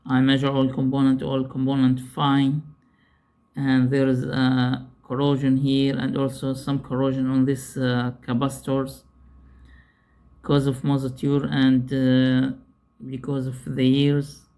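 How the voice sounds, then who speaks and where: A man who is not a native English speaker gives an unscripted hands-on repair tutorial, haltingly, repeating himself as he searches for words. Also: mid-range voice, monotone, mellow, clear, resonant tone.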